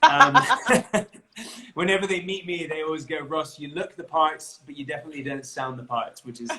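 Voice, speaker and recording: Bright-voiced chatty English man. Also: fairly high pitch, lively intonation, normal speed, a little hiss or hum, rough recording. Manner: chatty, laughs often, restarts a lot. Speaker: interview guest, bagpipe player. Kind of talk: casual chat.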